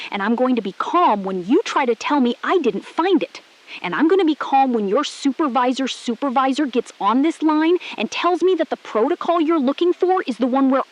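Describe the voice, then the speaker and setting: A calm and unyielding female astronaut played by an actress speaks in a fairly high voice. Her delivery is deliberate and precise, built on short, measured statements in the manner of a scripted audio thriller. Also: low-quality recording. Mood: icy calm. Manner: deliberate, measured, clipped declarative sentences. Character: calm, resolute woman astronaut-scientist, defiant and precise. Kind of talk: scripted audio drama acting.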